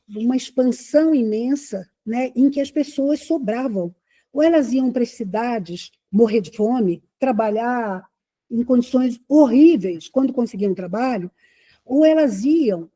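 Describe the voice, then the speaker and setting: A woman from Rio de gives a conversational lecture to an audience. Her voice is fairly high but very dark and mellow, with a husky edge. She keeps checking in with rhetorical questions as she speaks. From Rio de Janeiro, Brazil.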